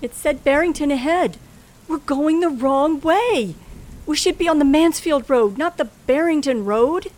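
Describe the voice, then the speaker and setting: A woman's voice: high-pitched, a little slow, a little mellow. An actress playing a frightened, tense woman traveler with a dramatic, hesitant delivery in an old radio thriller. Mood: fearful. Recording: faintly noisy old radio recording.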